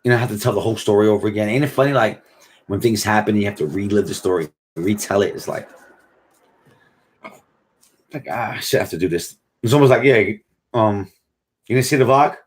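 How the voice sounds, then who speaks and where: A man's voice: lowish voice, a little fast, pauses often, slight background noise. A man in his forties chatting casually on a live stream, reading viewer comments in a laid-back, slangy way, tired and yawning. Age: 40s. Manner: laid-back slangy, drifts from mumbling to excited.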